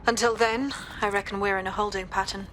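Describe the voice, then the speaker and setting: A woman's voice: mid-range voice, steady tone, a little fast, a bit bright, slight background noise, close to an everyday voice, rough recording. A woman playing an earnest resistance organiser in a video game, serious and businesslike. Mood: brisk, resolved.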